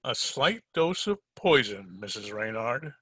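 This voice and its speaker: An actor playing a tough, hard-boiled private detective in 1940s radio drama style, sardonic and slangy. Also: male voice, medium pitch, big pitch swings, a little slow, bright, forceful tone, husky, no room sound, studio quality, heavily acted. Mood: mocking.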